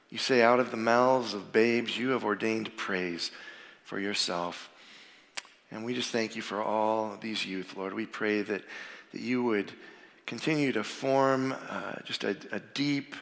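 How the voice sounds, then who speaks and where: A man's voice: lowish voice, a bit bright. Plainspoken male pastor, a bit froggy with a cold, preaching in a reverberant sanctuary. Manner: informal, plainspoken, with frequent fillers. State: getting over a cold, a little froggy. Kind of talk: sermon with prayer. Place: church sanctuary. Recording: long reverb of a large hall.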